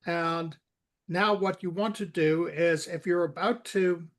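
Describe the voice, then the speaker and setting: A man giving informal step-by-step coding instruction, halting and repeating words as he guides someone through it. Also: a little high, steady tone, fairly bright tone. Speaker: software expert guiding a coding session.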